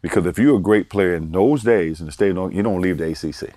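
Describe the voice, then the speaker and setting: A man in his sixties from Baltimore, a guest telling stories from his life in a relaxed way, chuckling and repeating words for emphasis. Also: low-pitched, quick pace, dry recording, studio quality. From Baltimore, Maryland. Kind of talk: casual storytelling interview.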